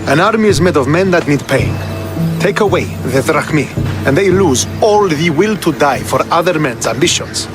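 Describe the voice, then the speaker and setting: A man acts a scheming wartime agent in a game cutscene. His voice is a little high, his delivery deliberate and grandiose, with music clearly underneath. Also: animated, natural voice. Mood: calculating. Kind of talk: video game cutscene acting. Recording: music behind the dialogue.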